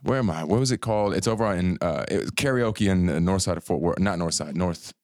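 A man's voice: sits low, fairly quick, a little mellow, dry recording. A man talking casually as a podcast guest, telling a rambling story and often restarting his sentences in a podcast studio.

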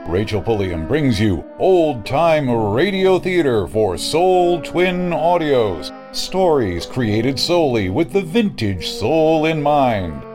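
Slow, swooping male radio announcer. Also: medium pitch, sing-song. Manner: measured, dramatic announcing. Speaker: trailer announcer. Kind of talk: scripted old-time radio promo. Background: faint music.

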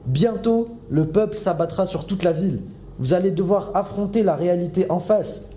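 A man plays a furious male intruder, high-pitched and slow, hurling accusations. The voice is dark and mellow and rings with room echo. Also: slight background noise, close to an everyday voice. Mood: accusing. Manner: shouting, accusing, slow and heavy.

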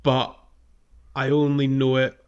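A man with a mid-pitched voice hosts a relaxed video game podcast. He recaps his progress conversationally, often pausing and feeling his way through the sentence. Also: clear, resonant tone, muffled recording. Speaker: podcast host. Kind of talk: casual gaming podcast chat, recapping a playthrough.

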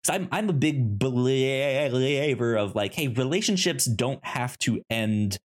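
A man with a frequent stutter talking casually as a co-host, halting and rambling as he repeats sounds and words. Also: medium pitch, lively intonation. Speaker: podcast co-host. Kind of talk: casual conversational film discussion.

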